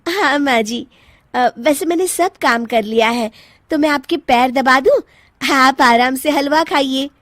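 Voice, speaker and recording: A woman's voice: high-pitched, unhurried, fairly bright tone, no echo, clean studio sound, pitched above the performer's own voice. A woman voicing a spoiled young daughter-in-law in a cartoon moral story, whiny and melodramatic. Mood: sweetly servile.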